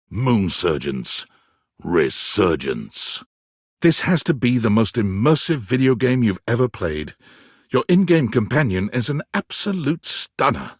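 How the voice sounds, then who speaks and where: A dramatic storyteller for a sci-fi video game. His mid-pitched voice is husky and rises and falls expressively. The scripted narration is recorded close and dry. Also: no room sound, full character voice. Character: male second-person narrator of a sci-fi game adventure.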